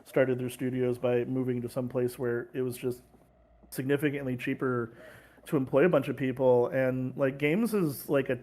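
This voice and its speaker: A man's voice: mid-range voice, fairly even intonation, normal speed, darkish tone. A man from California talking casually in an interview as a game publisher, rambling with many fillers and restarts over a video call.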